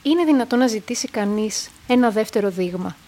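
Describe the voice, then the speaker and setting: A woman's voice: a little high, expressive, mellow. An actress playing a polite, tentative young woman client in a radio drama, speaking formally. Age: young adult.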